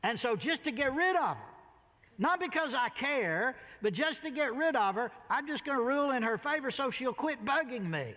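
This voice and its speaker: A man's voice: very high-pitched, animated, normal speed, bright, forceful tone, pitched above the performer's own voice, full character voice. A man voicing a selfish, exasperated judge at his wit's end, ranting in a sermon story. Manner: ranting, fed up, emphatic. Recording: reverberant large hall.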